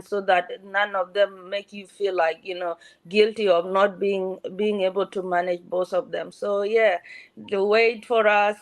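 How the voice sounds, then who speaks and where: A woman's voice: medium pitch, no echo. Reassuring woman from South Sudan, dry sound. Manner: repetitive, reassuring, restarts often. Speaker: guest mentor. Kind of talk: motivational self-introduction.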